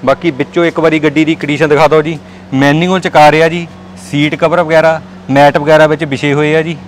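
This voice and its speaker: Punjabi man pitching cars, fairly high voice. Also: flat delivery, a little fast, slight background noise. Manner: repetitive friendly pitch, addresses viewers as brothers. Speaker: car dealer showing stock. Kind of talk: walkaround sales tour. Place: large indoor car showroom.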